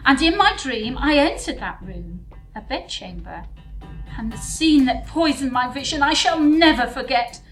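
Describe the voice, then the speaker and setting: A high, highly expressive young gentlewoman played by a woman from a theatrical folk tale. She is bold and formal, weighting each old-fashioned phrase for drama. Faint music plays behind her. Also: measured pace, full character voice, poor audio. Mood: horrified, accusing. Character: bold young gentlewoman bride recounting a sinister dream.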